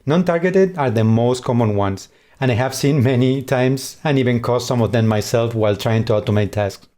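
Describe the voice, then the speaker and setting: A man with a Spanish accent presenting a scripted conference talk in a measured way. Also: medium pitch, dark tone. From Spain. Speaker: conference speaker.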